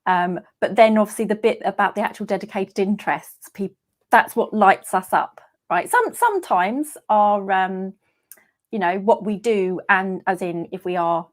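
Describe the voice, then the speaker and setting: A woman hosts an online session over a video call at a middle pitch. She talks in a chatty, giggly way and fills her sentences with ums. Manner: chatty, giggly, many fillers. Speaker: host. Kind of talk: casual online session hosting.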